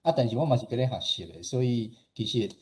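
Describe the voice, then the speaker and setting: A man with a Taiwanese accent explaining things informally and modestly in an online discussion, in a halting way. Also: medium pitch, animated, darkish tone. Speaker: radio station director and radio drama producer, guest speaker. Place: online meeting.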